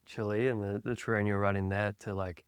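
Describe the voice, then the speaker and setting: A man hosts a podcast interview. His voice sits low and he sounds friendly and upbeat. It is recorded dry and close. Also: level tone, fairly quick, smooth, dry recording. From Australia. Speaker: podcast host. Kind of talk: casual interview hosting.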